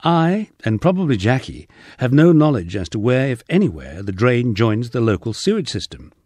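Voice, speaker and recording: A man narrating a first-person memoir from a script, with a husky voice and a wry storytelling manner. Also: mid-range voice, big pitch swings, moderate pace, darkish tone, no echo. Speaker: audiobook narrator.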